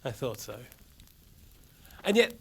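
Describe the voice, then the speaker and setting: A man's voice: medium pitch, big pitch swings, slow pace, creaky, husky, full character voice. An actor playing a smug, menacing foreign nobleman villain in a medieval game, with a mock-courteous, taunting delivery. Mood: gloating, cruel. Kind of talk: video game cutscene acting.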